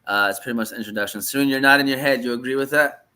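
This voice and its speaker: Quick, bright male host from Michigan, rambling casual questions. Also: mid-range voice, clear, resonant tone. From Michigan, United States. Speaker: podcast host. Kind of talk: casual podcast hosting.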